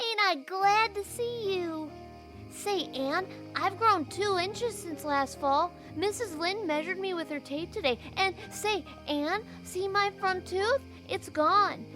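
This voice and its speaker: Lady doing a super high-pitched little boy, eager and cheeky, talks a mile a minute. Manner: eager, chattering, boastful.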